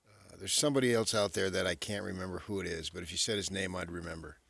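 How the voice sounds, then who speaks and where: A man with a lowish, creaky voice co-hosts sports radio. He is blunt and contrarian in casual back-and-forth, and the sound is dry and close. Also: steady tone, fast talker, fairly bright tone, dry recording. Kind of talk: casual sports talk banter.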